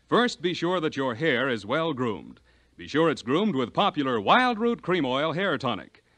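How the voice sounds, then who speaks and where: A man working as a radio announcer, delivering show introductions and sponsor copy in 1940s style. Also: on the high side.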